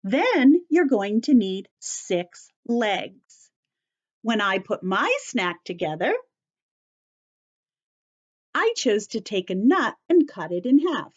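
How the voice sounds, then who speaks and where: A woman teacher giving a story-time lesson to young children, explaining in simple, deliberate phrases and repeating key points. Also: mid-range voice, very animated, dull audio.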